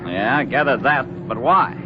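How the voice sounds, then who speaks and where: An actor playing a surly, world-weary ex-Navy diver who talks in a curt grumble in a 1940s radio drama. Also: male voice, medium pitch, expressive, moderate pace, close to an everyday voice. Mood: wary, bitter. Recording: muffled, very noisy 1940s radio recording.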